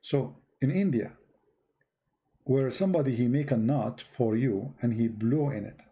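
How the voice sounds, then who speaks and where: A man's voice: mid-range voice, measured pace, vocal fry, mellow. A man, an English speaker, giving a blunt, emphatic religious talk to an online audience, repeating himself for stress. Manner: blunt, emphatic, repeats words, non-native grammar. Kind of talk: live-streamed religious talk.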